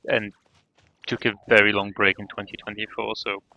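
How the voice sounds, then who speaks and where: A man chatting casually on a game livestream, halting often as he thinks aloud. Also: on the low side, flat delivery, bright, forceful tone, no room sound. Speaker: game streamer. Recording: dull band-limited mic, faint music.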